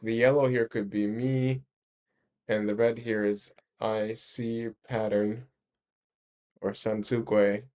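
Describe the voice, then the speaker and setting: A man explaining his ideas in a casual discussion, halting and rephrasing emphatically as he goes. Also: fairly low pitch, a little mellow. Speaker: speaker explaining a research ranking system.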